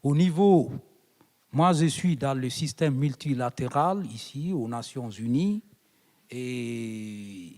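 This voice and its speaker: Orating man from Guinea, slow, in a hall. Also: medium pitch, animated, darkish tone. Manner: oratorical, rising to emphatic appeals. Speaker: invited guest speaker. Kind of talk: conference speech. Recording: long hall reverb.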